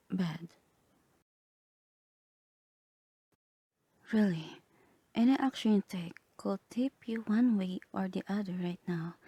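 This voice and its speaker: A woman voicing a gentle, earnest guardian angel in a soft half-whispered ASMR roleplay, slow, solemn and tender. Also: medium pitch, husky, dry recording, full character voice.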